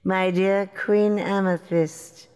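A woman's voice: medium pitch, little pitch movement, slow pace, a little mellow, smooth, close to an everyday voice. An actress playing a wicked, mocking old fairy in a fairytale audio drama, theatrical and mock-sweet in her gloating. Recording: long hall reverb.

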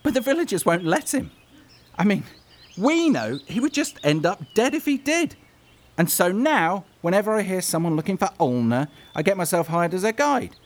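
An actor playing a cheerful, sly trickster guide in a comedic fantasy audio drama, chatty, glib and theatrical. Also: male voice, high voice, big pitch swings, normal speed. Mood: earnest, defensive.

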